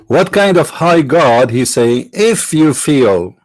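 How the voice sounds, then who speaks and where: A man whose first language is Arabic, from the Middle East, arguing in a sarcastic, mocking tone and slowing down to stress quoted phrases. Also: fairly high pitch, lively intonation, darkish tone, no echo. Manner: sarcastic, mocking rhetorical questions. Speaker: apologist speaker. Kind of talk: argumentative lecture.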